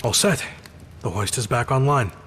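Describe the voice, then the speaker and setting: An actor playing a stoic, devout captain as a video game hero, measured and formal in his speech. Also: medium pitch, noisy background, close to an everyday voice. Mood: focused. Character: stoic, devout male captain from a sunlit empire. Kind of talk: video game protagonist acting.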